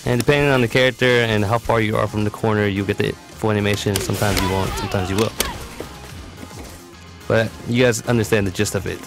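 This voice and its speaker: A man with a fairly low voice walks through a fighting game character in a casual, matter-of-fact way. He explains like a competitive player giving a guide. Game music plays clearly behind his voice-over. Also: steady tone, quick pace. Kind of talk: explanatory video game tutorial commentary.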